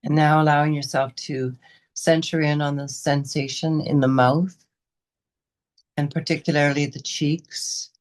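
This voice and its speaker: A woman guiding a meditation as a teacher, giving unscripted gentle instructions in short, unhurried phrases with pauses between them. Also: sits low, fairly even intonation. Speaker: meditation and yoga teacher leading a guided session.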